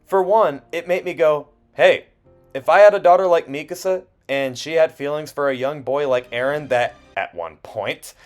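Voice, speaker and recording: A man narrating a personal video essay in an expressive way, with self-deprecating asides and dramatic pauses. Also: a little high. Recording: music under the voice. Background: background music.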